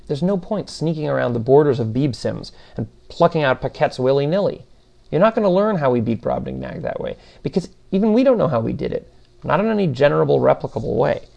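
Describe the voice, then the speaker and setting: A female digital philosopher, read by a man, argues her case with defiant insistence. The voice is gravelly and slightly lifted, quick-witted and argumentative in a storybook style. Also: on the high side, darkish tone, voice pushed up.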